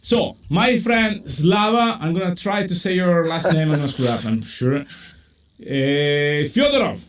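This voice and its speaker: A man with an Italian accent hosting a casual live chat over a video call, talking in lively bursts with many fillers. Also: measured pace, a little hiss or hum. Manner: animated, many fillers, pitch jumps when excited. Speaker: host.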